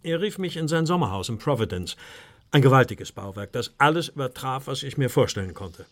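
A man playing an earnest, anxious English gentleman recounting his ordeal in a formal, deferential way in a German audio drama. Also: medium pitch, expressive, brisk, close to an everyday voice. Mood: grave recounting.

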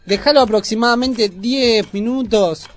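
A man's voice: very high-pitched, measured pace, faint music behind. A man with an Argentine accent hosting a cooking segment in casual, colloquial chat full of affectionate diminutives. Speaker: host of a youth culture radio cooking segment.

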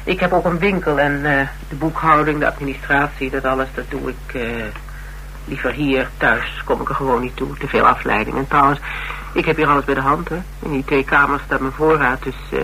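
An actor playing a direct, inquisitive Dutch detective in a radio play, with a drily teasing, businesslike manner. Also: male voice, mid-range voice, steady tone, brisk, gravelly, bright, forceful tone, slight background noise, rough recording. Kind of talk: naturalistic radio play dialogue.

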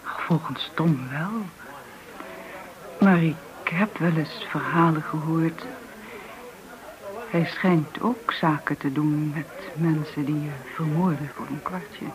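An actor in a radio drama playing a knowing, cautious acquaintance who talks in a casual, understated way. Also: male voice, a little high, takes it slowly, crowd noise, poor audio.

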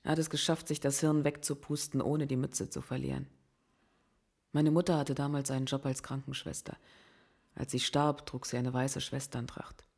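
A wounded but resolute woman in a crime radio play. She speaks with grave, measured delivery, like a survivor carrying a heavy past. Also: a bass voice, flat delivery, gravelly, darkish tone, slightly rough, full character voice. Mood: haunted, grave.

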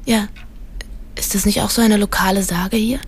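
A woman's voice: medium pitch, fairly even intonation, moderate pace, a little hiss or hum, natural voice. An actress playing a young woman in a radio drama, questioning and natural in her delivery. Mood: inquisitive. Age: young adult. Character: inquisitive young woman returning to her hometown.

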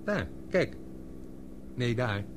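Man acting as earnest protective young hero, lively shifting pitch, radio drama, faint background noise. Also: extremely slow. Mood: calm.

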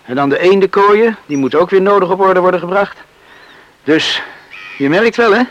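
A man's voice: high-pitched, normal speed, fairly bright tone, highs cut off, slight background noise. A man acting in a Dutch radio play as an earnest, tender, hardworking young farm man in love. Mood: matter-of-fact, busy. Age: young adult.

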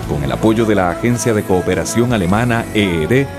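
A man's voice: fairly low pitch, brisk. A man reading scripted closing credits as a radio announcer. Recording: studio announcement over music.

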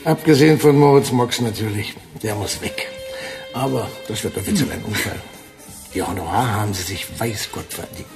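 A man as a gravelly, smug corporate villain. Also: on the low side, moderate pace, over music, lightly acted. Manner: sardonic, self-satisfied. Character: scheming, gloating corporate villain, cold and smug. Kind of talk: German radio play acting.

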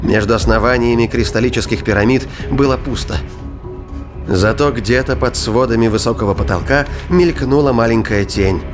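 A man with a mid-pitched voice narrates a science-fiction thriller. He reads as a scripted audio drama narrator, with faint music underneath. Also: normal speed.

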